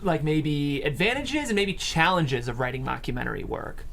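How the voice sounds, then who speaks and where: A woman with a low voice that moves around a lot in pitch hosts a casual interview. She hedges as she asks and drops in "like" often. There is light noise behind her. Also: very animated, normal speed, vocal fry. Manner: hedging, peppered with "like". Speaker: show host and interviewer.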